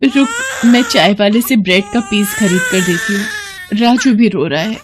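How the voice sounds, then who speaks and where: A woman's voice: medium pitch, big pitch swings, normal speed, a crowd in the background, background noise, close to an everyday voice. A woman playing a poor, honest blind beggar mother in a moral story, plaintive and earnest. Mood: tender, troubled. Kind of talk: dramatised moral story acting.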